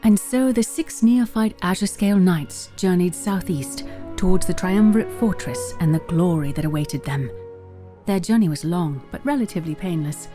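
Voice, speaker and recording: A woman's voice: on the low side, moderate pace, dark tone. A woman narrating a fantasy story in a scripted, dramatic storytelling style. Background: faint music.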